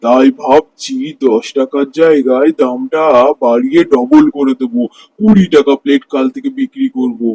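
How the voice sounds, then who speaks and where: A man voicing a cheerful, greedy married street-food vendor in a cartoon story, with an expressive, exclaiming delivery. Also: medium pitch, normal speed, husky, no echo, rough recording. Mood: scheming. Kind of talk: Bengali cartoon story voice acting.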